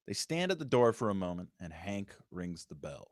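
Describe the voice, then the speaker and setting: Low-voiced man reading stage directions. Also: very animated, normal speed, no echo. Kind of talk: scripted narration at a table read.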